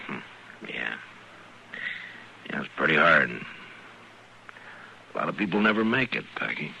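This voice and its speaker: An actor playing a calm, weary, fatherly lawman in a radio western, with a gravelly American voice and a laconic, dry way of talking. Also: measured pace, lo-fi sound, full character voice. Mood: gentle, reflective. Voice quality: deep, gravelly. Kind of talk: old-time radio western acting. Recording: noisy old radio recording.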